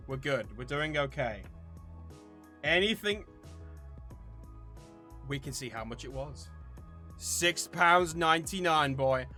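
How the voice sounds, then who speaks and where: A high-voiced man of around thirty hosts a casual vlog over music. His delivery swings widely, playful and theatrically mock-serious as he addresses viewers. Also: pushed and bright. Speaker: channel host.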